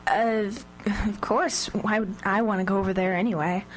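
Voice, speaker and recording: A woman's voice: mid-range voice, steady tone, fairly quick, close to an everyday voice, low-quality recording. A woman playing a bold, nosy, sarcastic teenager in a radio drama, insistent in manner. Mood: groggy, sarcastic. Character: bold, sardonic, nosy teenage sibling, a twin. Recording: live radio recording, faint background noise.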